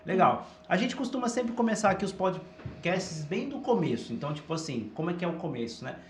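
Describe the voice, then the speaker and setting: A man's voice: high voice, sing-song, brisk. Male podcast host, echoey room. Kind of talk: casual interviewing. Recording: echoey room, faint background noise.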